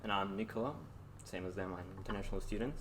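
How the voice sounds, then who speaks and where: A young man from Italy chimes in with brief comments in a casual podcast chat. His voice is low, with clear background noise. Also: steady tone, very fast. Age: young adult. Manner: short interjections, brief remarks. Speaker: guest, international student. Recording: noisy room.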